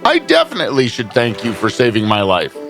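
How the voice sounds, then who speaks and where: A man's voice: medium pitch, huge pitch swings, a little fast, a bit bright, soft background music. A man voicing a vain, sheepish giant husband in a storybook drama, self-satisfied but grudgingly grateful.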